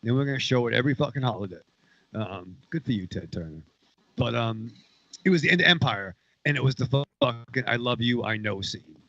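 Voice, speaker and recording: A man's voice: medium pitch, animated, a little fast, vocal fry, pushed and bright, no room sound, dull audio. A man in his forties co-hosting a podcast, telling a rambling story in casual chat and swearing a lot. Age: 40s. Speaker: podcast co-host.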